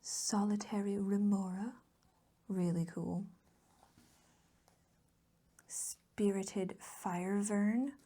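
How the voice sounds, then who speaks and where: A woman speaking softly in an ASMR chat, often slipping into a breathy whisper, rambling affectionately and gushing about what she likes. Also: fairly even intonation, extremely slow, long pauses. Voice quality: soft, breathy whispering mixed with low voiced murmur. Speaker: host of a hobby ASMR channel. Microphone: close mic, recorded as voice-over.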